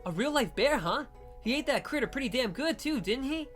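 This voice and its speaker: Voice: sits high, expressive, fairly quick, fairly bright tone, faint music behind, voice pushed up. A game player voicing a breezy, blunt young girl with a casual, teasing way of talking. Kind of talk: visual novel character reading.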